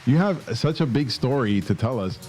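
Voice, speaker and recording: A man's voice: mid-range voice, animated, moderate pace, darkish tone, soft background music, poor audio. A man hosting a radio show, chatting casually and switching between English and Spanish with upbeat energy. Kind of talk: casual bilingual radio talk. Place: radio studio.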